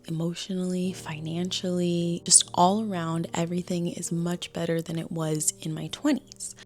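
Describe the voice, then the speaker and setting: A woman's voice: lowish voice, vocal fry, a little mellow. A woman in her thirties chatting candidly to camera in a casual vlog, full of "like" fillers. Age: 30s. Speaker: vlogger. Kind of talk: casual talking-head chat. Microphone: small handheld camera.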